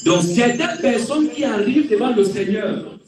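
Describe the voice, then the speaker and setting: A man preaching as a pastor, repeating key phrases for emphasis and swinging between quiet and loud delivery. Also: very high voice, animated, moderate pace, noisy background. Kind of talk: sermon. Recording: very echoey room, distant mic.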